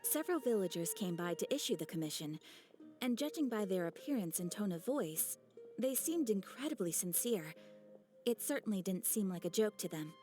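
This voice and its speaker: A woman's voice: medium pitch, animated, darkish tone, natural voice. A woman voicing a polite, formal receptionist at an adventurers' guild in a video game. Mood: polite, reassuring. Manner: polite, formal, measured, professional. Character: poised, courteous female adventurers' guild receptionist. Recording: faint music behind the voice.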